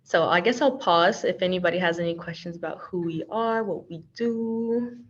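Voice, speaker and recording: A woman's voice: fairly low pitch, moderate pace, a little hiss or hum. An American woman from Texas leading an online training in a casual, chatty, playful and informal way over a video call. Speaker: workshop trainer and organization's communications coordinator. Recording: dull, lo-fi video call audio.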